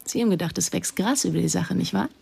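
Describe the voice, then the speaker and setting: An actress playing a stern, persistent police investigator in a German radio crime drama, with a dry, probing manner. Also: female voice, fairly low pitch, fast, creaky, a little mellow, husky, exaggerated voice. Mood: pressing, dry.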